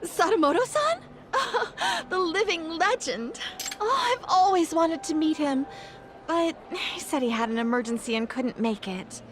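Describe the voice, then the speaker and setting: An actress playing a helpful, easily starstruck party guest in a video game, chatty and a little hesitant. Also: female voice, very high voice, expressive, a little slow, a bit bright, slight background noise, exaggerated voice.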